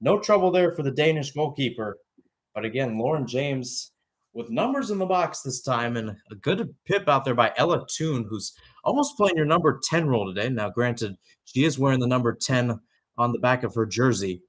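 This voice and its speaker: A man's voice: medium pitch, lively intonation, normal speed. A young man doing live radio-style soccer play-by-play, with conversational analysis that wanders and corrects itself. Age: young adult.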